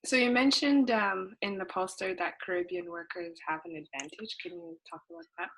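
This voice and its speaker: A woman's voice: mid-range voice, lively intonation, brisk, creaky, fairly bright tone, husky, rough recording. A young woman hosting a podcast interview, asking questions hesitantly with many fillers. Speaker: student interviewer, podcast host.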